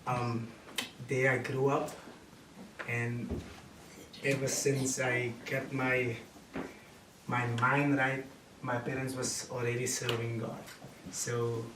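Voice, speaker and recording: A man in his late twenties with a Cape Town South African accent, giving a personal testimony in a preaching, storytelling way, in deliberate phrases with repetition. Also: medium pitch. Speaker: guest speaker giving testimony. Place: indoor room with small seated audience. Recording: roomy, distant camera mic with noise.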